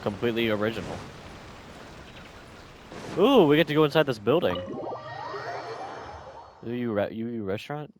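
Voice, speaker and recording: A man's voice: medium pitch, very animated, moderate pace, pauses often, noisy background. A man giving casual, off-the-cuff commentary as he plays a video game. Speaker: gamer recording a playthrough.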